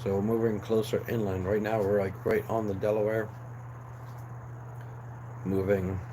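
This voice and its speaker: A man's voice: lowish voice, little pitch movement, a little slow. A young man hosting a casual live chat, losing his voice and rambling along with fillers and restarts. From New Jersey, USA. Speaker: livestream host. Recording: clear background noise.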